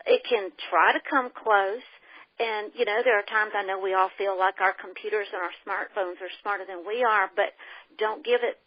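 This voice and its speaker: A woman's voice: mid-range voice, fairly even intonation, moderate pace, no echo. The sound is a dull, close telephone connection with no room around it. On it a woman from the United States explains her case as an invited speaker. She is opinionated and emphatic, and she reaches for homely, folksy expressions. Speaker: guest speaker, education activist. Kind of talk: explanatory talk on a conference call. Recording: dull telephone conference-call line.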